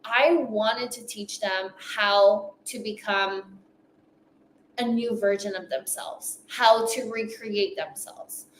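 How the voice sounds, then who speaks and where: A woman's voice: mid-range voice, slow, reverberant, slight background noise, poor audio. A woman whose first language is French, speaking with a light French accent and giving an emphatic motivational talk at a summit, repeating phrases for effect. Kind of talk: motivational speech.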